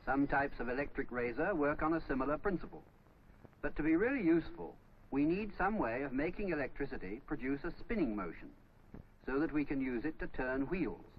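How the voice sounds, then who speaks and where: A man's voice: a little high, lively intonation, fairly quick. A man narrating a scripted 1950s instructional film, explaining step by step in a didactic way. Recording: dull, noisy old 1950s film soundtrack.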